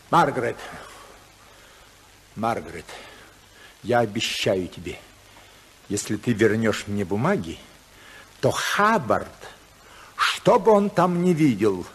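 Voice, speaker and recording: Husky, imperious magnate. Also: male voice, mid-range voice, heavily acted. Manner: imperious, demanding. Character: commanding, domineering magnate and stern father. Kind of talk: 1950s Soviet radio drama acting.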